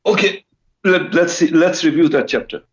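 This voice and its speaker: A man's voice: fairly high pitch, normal speed. A man calling in to a live debate show, hesitant and full of restarts. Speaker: caller. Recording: muffled phone-in line.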